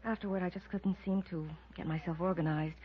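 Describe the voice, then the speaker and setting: A woman's voice: fairly low pitch, fairly even intonation, a little fast, close to an everyday voice. An American woman acting a polite, bewildered, grieving widow in a 1950s radio drama. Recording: dull vintage radio recording.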